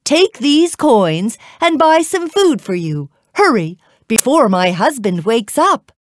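A woman's voice: mid-range voice, very animated, slow pace, no room sound, clean studio sound, voice pushed up. A woman voicing a kindly giant woman in a children's storybook tale. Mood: urgent. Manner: loud greetings, hushed asides.

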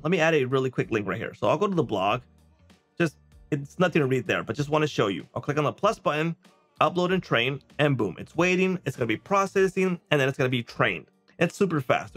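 A man in his thirties hosting a casual software walkthrough, conversational, often checking in with a quick okay or right. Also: on the high side. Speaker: software tutorial host. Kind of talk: casual screen-share walkthrough. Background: music.

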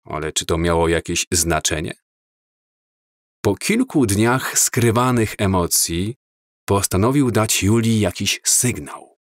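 Male audiobook narrator, unhurried and measured, lowish voice, dry close recording. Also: no room sound. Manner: slow, measured, calm reading. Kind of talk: scripted storybook narration.

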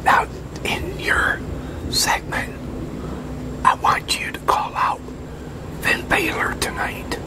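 A man in his sixties in a whispered ASMR roleplay as an easygoing wrestling promoter, talking haltingly as if in a one-sided conversation. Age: 60s. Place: open-sided concrete pavilion outdoors, at a metal picnic table.

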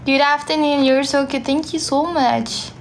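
High-voiced French woman on a phone stream. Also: steady tone, slight background noise. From France. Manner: soft-spoken, slow and friendly, answering comments one by one. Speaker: livestream host. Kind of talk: live stream chat, reading viewer comments. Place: small room indoors. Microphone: phone held at arm's length.

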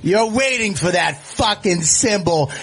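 A man's voice: high voice, lively intonation, slow, fairly bright tone, slight background noise. A man judging a contest on a live stage, talking bluntly and swearing. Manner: profane, blunt. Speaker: guest judge. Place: live stage before an audience.

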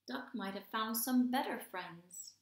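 A woman's voice: fairly high pitch, animated, measured pace. A woman voicing a sad, worried cat from a children's storybook in a plaintive, wailing way. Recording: roomy indoor echo.

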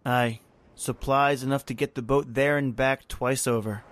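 A mid-pitched male voice of an actor plays a fatalistic island servant in an old-time radio horror drama. He is terse and flat, with a grim, ominous manner. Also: moderate pace, no echo, close to an everyday voice. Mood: resigned.

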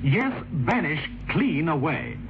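An actor as an enthusiastic product announcer in an old radio commercial. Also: male voice, fairly high pitch, very animated, slow, noisy background, full character voice. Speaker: advert announcer. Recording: dull old radio recording, music behind.

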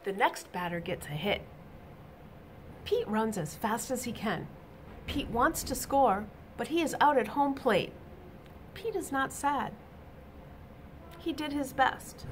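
A middle-aged woman reads a children's story in a fairly high voice. Her delivery is very animated and unhurried, stressing exclamations for young listeners. There is a faint noise in the room. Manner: expressive, deliberate, emphatic exclamations. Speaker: reader of a children's picture book. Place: small indoor office or classroom.

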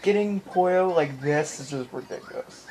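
A man's voice: on the high side, moderate pace, mellow, a little hiss or hum, poor audio. A man giving casual, rambling gameplay commentary, often repeating his phrases, recorded on a handheld camera in a room. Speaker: gamer recording his playthrough. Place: indoor room in front of a TV. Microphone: handheld camera or phone filming the screen.

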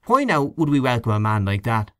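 A man's voice: mid-range voice, sing-song, fairly quick, no echo, clean studio sound, natural voice. A man voicing an argumentative committee man who reasons his point in an audiobook reading.

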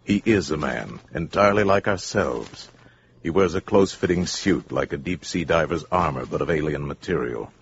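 An actor as a grave, portentous American narrator of a 1950s radio drama. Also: male voice, very deep voice, animated, moderate pace, slight background noise. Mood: hushed, ominous. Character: grave, ominous male radio-play narrator. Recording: muffled 1950s radio, loud music behind.

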